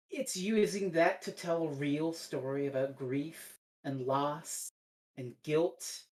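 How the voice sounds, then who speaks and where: A woman with a low voice talks through her opinions on a review podcast. She is blunt and casual, swears, and halts now and then to find her words. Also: low-quality recording.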